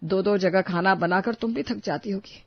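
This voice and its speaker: An actor voicing a remorseful, supportive husband speaking earnestly in an animated moral story. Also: male voice, high-pitched, normal speed, no echo, dull audio. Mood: earnest, apologetic.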